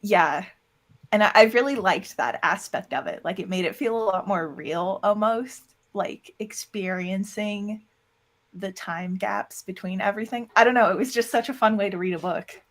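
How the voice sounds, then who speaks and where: A woman's voice: medium pitch, normal speed. A woman chatting casually as an interview guest, talking in a self-deprecating way. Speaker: interview guest, audio drama producer and voice actor.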